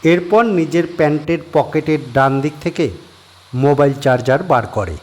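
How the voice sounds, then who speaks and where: A man narrating a horror audio story in a measured storytelling manner. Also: fairly high pitch, lively intonation, a little mellow, low-quality recording.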